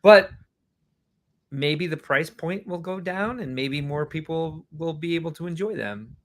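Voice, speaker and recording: A man's voice: a little high, a little slow. A man co-hosting a casual live stream, teasing his partner and playing up mock outrage. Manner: teasing, playfully dramatic. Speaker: co-host.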